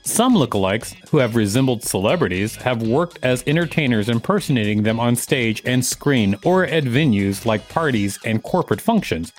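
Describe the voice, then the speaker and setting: A man's voice: medium pitch, expressive, normal speed, few pauses, soft background music. Male podcast host reading aloud. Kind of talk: reading an article aloud with casual commentary.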